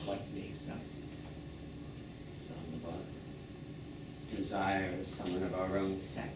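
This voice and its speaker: An actor playing a proud, wistful old dead man in a stage play, musing philosophically in a deliberate, theatrical way. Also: low-pitched, little pitch movement. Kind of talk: live stage play monologue addressed to audience. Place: small theatre stage, recorded from the audience. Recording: echoey theatre from far off, noisy.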